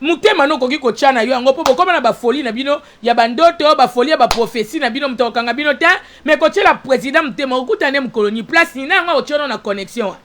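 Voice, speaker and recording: Congolese woman, talk host, high and forceful, emphatic repetitive monologue full of rhetorical questions. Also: fast, hardly any pauses, slight background noise. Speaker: online talk show host. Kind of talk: informal opinionated monologue.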